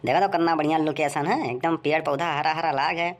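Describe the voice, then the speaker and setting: A man's voice: on the high side, steady tone, quick pace, lightly acted. A man playing a grumbling village man in a comic cartoon, conversational and rustic, with an Awadhi accent. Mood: pleased.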